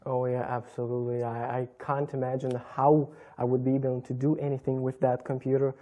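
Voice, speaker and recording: A young man with a Lithuanian accent, answering interview questions as a guest in a measured, explanatory way. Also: medium pitch, flat delivery, dark tone, lo-fi sound. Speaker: computer business guest. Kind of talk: interview talk. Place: TV studio in a hotel.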